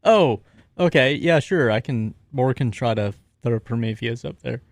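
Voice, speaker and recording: A man speaks as a player in a tabletop game, with lively swings in pitch. His talk is casual and the sound is dry and close. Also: normal speed, no room sound. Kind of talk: casual game chat, describing character actions in third person.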